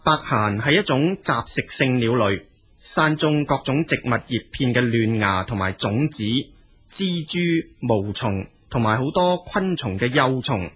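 A male storyteller with a Cantonese accent narrates in a colloquial spoken style. His voice sits at a medium pitch and is strongly husky and rough. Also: animated, measured pace, a bit bright.

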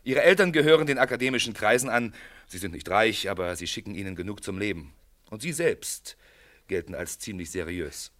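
An actor playing a shrewd, unhurried police inspector in a German radio play, probing in a courteous, matter-of-fact way. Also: male voice, on the low side, animated, a bit bright.

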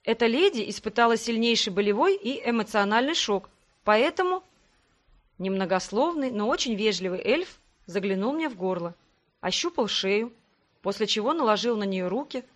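A woman narrating a first-person fiction audiobook in an expressive, scripted reading style. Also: fairly high pitch, normal speed.

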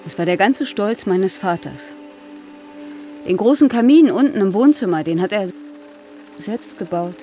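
A woman's voice: mid-range voice, animated, a little slow, long pauses, dark tone, natural voice. A woman with a mid-range voice played by an actress, a film star and protective older sister in a radio drama. She speaks naturally, solicitous yet guarded, over faint background music. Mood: wistful.

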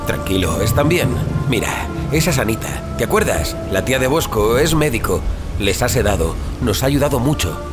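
A man's voice: mid-range voice, sing-song, normal speed, close to an everyday voice. A man with a Castilian Spanish accent playing a haunted, urgent brother with an intense, portentous manner in an audio drama. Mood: reassuring. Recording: loud music bed under the voice. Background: loud music, thunder.

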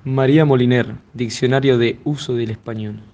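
A man's voice: medium pitch, steady tone, moderate pace. A man reading a scripted dictionary announcement as an announcer. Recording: dull sound over loud music.

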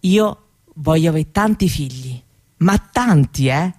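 A woman playing a candid, proud seventeen-year-old Roma girl in a radio monologue, with a Roman Italian accent and halting colloquial talk that often seeks agreement. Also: deep voice, animated, a little mellow, exaggerated voice. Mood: candid, determined. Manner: colloquial, halting, seeking agreement with little tag questions. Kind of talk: conversational theatrical monologue for radio.

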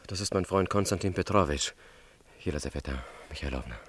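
An actor playing an anguished young revolutionary who poses as a secretary, urgent and halting, in a German radio drama. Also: male voice, sits low, animated, breathy edge. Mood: restrained, resolute. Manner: urgent, halting, repeating himself when agitated.

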